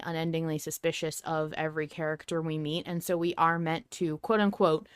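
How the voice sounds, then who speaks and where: A woman's voice: on the low side, fairly bright tone, clean tone, no echo, clean studio sound. A woman hosting a podcast, talking through ideas in a casual, informal and emphatic way. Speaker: podcast host. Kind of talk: casual fan discussion podcast.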